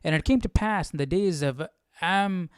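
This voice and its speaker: A man's voice: high-pitched, moderate pace, clean tone, dry recording, studio quality. A man hosting a casual Bible study, reading aloud and informally thinking through it, often repeating himself and checking his understanding. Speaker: host of a live Bible study.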